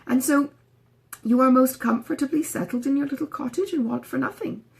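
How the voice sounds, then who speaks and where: A woman voicing a pompous, effusive, self-satisfied gentleman in an audiobook, gushing and fussily over-polite. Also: high voice, brisk, gravelly, pitched above the performer's own voice. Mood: effusive, smug. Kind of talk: audiobook character dialogue.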